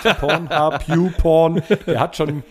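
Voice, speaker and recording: A man's voice: fairly high pitch, steady tone, slow. A man co-hosting a podcast, casually moderating and bantering with the others. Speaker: podcast co-host.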